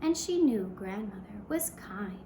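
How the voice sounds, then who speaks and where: Woman, puppet show storyteller, slow gentle delivery, pausing phrases, roomy echo, background noise. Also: mid-range voice, expressive. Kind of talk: slow scripted fairy-tale narration for young children.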